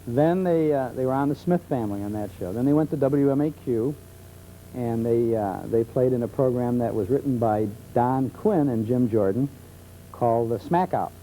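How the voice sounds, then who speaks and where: An American man with a very mellow voice and middling pitch. He chats enthusiastically as an expert guest in a television studio, recounting details in anecdotes, with a faint hum behind. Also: moderate pace, rough recording. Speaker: guest expert on old-time radio. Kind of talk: talk show conversation, enthusiastic anecdotes.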